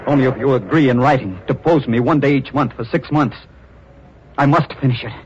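An actor playing a bitter, obsessive sculptor and menacing husband in a 1940s radio melodrama, intense and brooding. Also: male voice, medium pitch, fairly quick, close to an everyday voice. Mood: pleading, insistent. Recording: muffled, noisy old radio recording.

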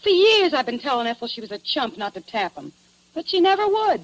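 Mouthy slangy american dame in a 40s radio drama, highish voice, swoops up and down. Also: female voice, very animated, fairly quick, rough recording. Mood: brash, frank. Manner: slangy, pushy. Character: brash, wisecracking dame defending her friend. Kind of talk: 1940s radio acting.

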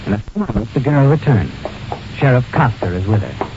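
An actor playing a knowing, ominous storyteller in 1940s radio suspense narration. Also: male voice, medium pitch, sing-song, fairly quick, background noise, close to an everyday voice. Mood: knowing, suspenseful. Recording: muffled old radio recording. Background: clear background music.

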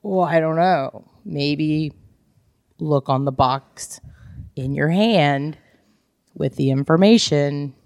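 A woman's voice: low voice, takes it slowly, long pauses, darkish tone, no echo, studio quality. A woman chatting casually as a podcast co-host, candid and joking with salty language. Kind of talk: casual chat about books.

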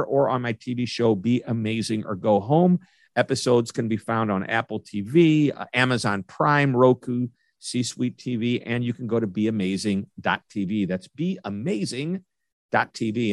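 A man's voice: medium pitch, no room sound. A man hosting an interview show, enthusiastic and upbeat as he gives announcements and introduces his guest. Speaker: podcast host.

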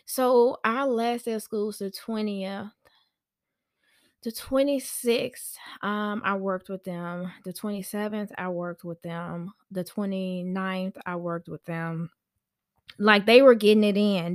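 Female podcast storyteller, medium pitch, informal and animated, recorded dry. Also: slow, dry recording. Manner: animated, chatty, acts out quoted conversations; informal slangy speech. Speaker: podcast host and nanny. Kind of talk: casual personal storytelling on a podcast.

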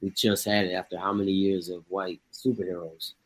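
A man on a chat show, talking in a relaxed, agreeable way. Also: low-pitched, brisk, dry recording, rough recording. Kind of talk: casual chat.

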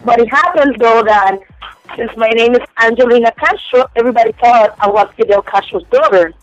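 A woman's voice: fairly high pitch, brisk, faint music behind. A young woman from Cuba with a Spanish accent, talking frankly and giggling as a phone-in guest on a casual radio interview. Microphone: telephone. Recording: dull lo-fi phone line.

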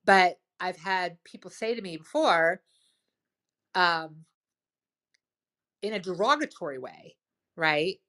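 A woman hosting a casual advice chat. She talks loudly and with enthusiasm, and fills her speech with "like" and "right?". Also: fairly low pitch, expressive, slow pace, pauses often. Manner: loud, boisterous, enthusiastic; lots of "like" and "right?". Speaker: host.

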